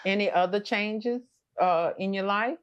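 A woman's voice: mid-range voice, measured pace, smooth, muffled recording. A woman interviewing a customer, affirming him with many short responses. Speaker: herbal remedy seller hosting the interview. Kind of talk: casual interview chat.

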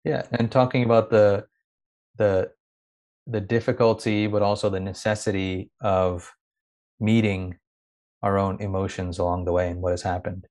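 Soft-spoken thoughtful man, from India. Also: deep voice, steady tone, normal speed. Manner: thoughtful, searching, with frequent restarts. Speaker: guest. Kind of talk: reflective conversational interview.

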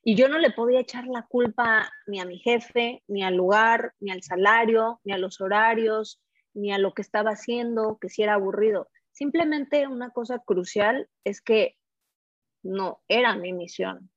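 A woman's voice: fairly high pitch, moderate pace, no echo. Mexican woman, dull lo-fi recording. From Mexico City, Mexico. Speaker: podcast guest. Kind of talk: casual personal storytelling. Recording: dull lo-fi microphone sound.

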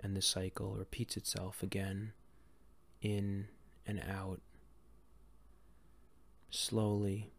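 A man leading a guided meditation in a gentle, calm, unhurried way with long pauses between phrases. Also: on the low side, gravelly. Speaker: meditation guide and series host.

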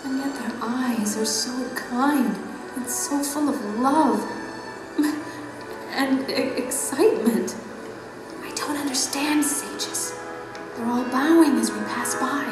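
In a very echoey hall with music playing, a humble, tender woman in an audio drama. She speaks slowly and earnestly, with wide-eyed wonder. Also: high-pitched. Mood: awed wonder. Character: humble, tender woman newly arrived in heavenly kingdom.

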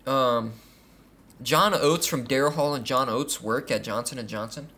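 A man's voice: mid-range voice, measured pace, pushed and bright, slight background noise. A man co-hosting a casual comedy podcast, making dry, offhand remarks.

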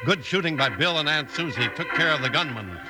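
A man's voice: expressive, fairly quick, loud music behind the voice. A man announcing and narrating in an emphatic, enthusiastic mid-century radio style. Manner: emphatic, enthusiastic pitchman delivery. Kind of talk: 1950s radio announcing, scripted. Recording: faintly hissy old radio recording.

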